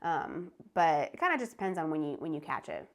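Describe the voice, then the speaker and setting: A woman's voice: low-pitched, sing-song, a little fast. A young woman co-hosting an expat travel vlog, speaking in an easygoing, thoughtful way. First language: English. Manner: easygoing, thoughtful, laughs lightly. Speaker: co-host of expat travel channel. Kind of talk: casual conversational chat.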